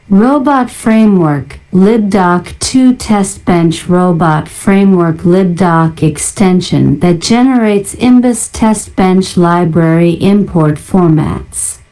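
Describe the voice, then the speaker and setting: A woman narrator doing a deliberate ASMR-style read-out of listed items in a flat manner. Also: lowish voice, poor audio. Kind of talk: ASMR reading of a list of software libraries.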